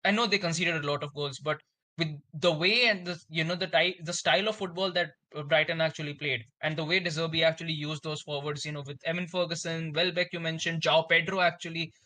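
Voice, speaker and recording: A man's voice: fairly high pitch, steady tone, fast talker, bright, forceful tone. A man giving his view as a football podcast panelist, hesitant and full of fillers. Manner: hesitant, many fillers like "you know" and "actually". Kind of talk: casual football chat.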